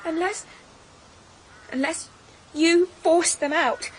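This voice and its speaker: A woman's voice: very high-pitched, slow pace. A young woman playing an unhappy, innocent wife in a period radio drama, earnest and plaintive. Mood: hurt, questioning.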